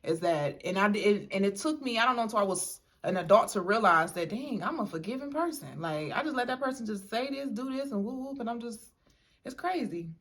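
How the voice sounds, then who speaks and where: A woman's voice: fairly high pitch, lively intonation, a little fast, a bit bright. A young woman hosting a mukbang, chatting casually with her husband and laughing often as she talks to viewers. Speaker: mukbang host. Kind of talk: casual chat.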